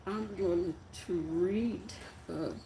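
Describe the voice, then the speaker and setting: Soft-voiced, gravelly, slow live-stream host. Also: mid-range voice, a little hiss or hum, poor audio. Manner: rambling and halting, with many fillers and trailing sentences. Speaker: live-stream host reading stories to viewers. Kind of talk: casual live-stream chat with viewers.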